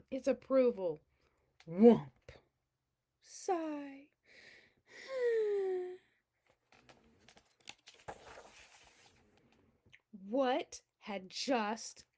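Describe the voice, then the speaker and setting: A woman's voice: fairly high pitch, exaggerated voice. A woman voicing a boastful big cheese learning humility, telling its own picture-book story in a theatrical, emphatic way. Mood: dejected. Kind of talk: first-person picture-book read-aloud.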